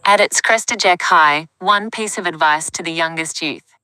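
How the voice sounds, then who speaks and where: A woman's synthetic voice reading out live chat messages in a flat, even way. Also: fairly low pitch, normal speed, clear, resonant tone, dry recording, low-quality recording. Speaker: text-to-speech chat reader.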